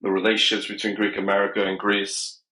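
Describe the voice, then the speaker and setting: A man runs a casual podcast interview, nudging the guest along with short prompts and quick affirmations. His voice sits lowish but has a bright, forceful edge. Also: monotone. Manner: brief prompts, affirming replies. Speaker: podcast host.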